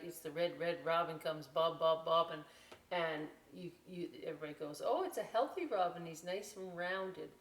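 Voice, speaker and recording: An American woman presenting a children's story time, reading a picture book aloud expressively and chatting through folksy, explanatory asides. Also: lowish voice. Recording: roomy echo, distant microphone.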